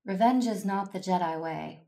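An actress playing a calm, dutiful older-sister Jedi in a sci-fi audio drama, restrained and earnest, over music. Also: female voice, fairly low pitch, normal speed, lightly acted. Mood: solemn concern. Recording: music under the voice.

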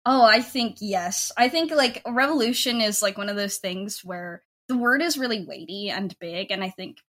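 A woman in her twenties speaks as a guest in a relaxed interview. Her voice sits at a middle pitch. She talks informally and chattily, dropping "like" into her sentences often. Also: moderate pace. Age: 20s. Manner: informal, chatty, heavy use of "like" as filler. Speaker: podcast creator and writer, interview guest. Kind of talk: casual conversational interview.

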